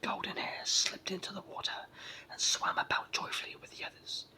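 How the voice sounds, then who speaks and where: A person with a sore throat and a cold, whispering a children's story in a raspy, froggy voice as ASMR narration. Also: moderate pace, rough recording. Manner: hushed whispering throughout.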